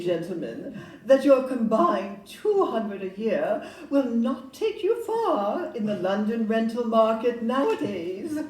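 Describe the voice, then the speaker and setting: A woman's voice: mid-range voice, a little mellow. An actress playing a polite, upbeat Victorian London estate agent in a stage audio play, genteel and salesmanlike as she glosses over flaws. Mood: apologetic, encouraging. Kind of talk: audio-play acting before an audience. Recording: roomy, distant live pickup, faint noise.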